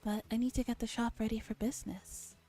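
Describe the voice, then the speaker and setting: A woman's voice: a little high, fairly even intonation, brisk, warm tone, natural voice. A woman voicing a casual, nonchalant young female part-timer in a visual novel. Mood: breezy. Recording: music behind the voice.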